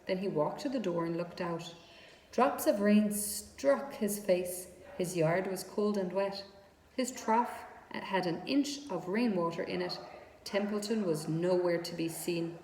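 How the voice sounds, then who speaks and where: A woman narrates a children's storybook with deliberate, expressive phrasing at a medium pitch. Her voice rings in an echoey room with clear background noise. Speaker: storybook reader.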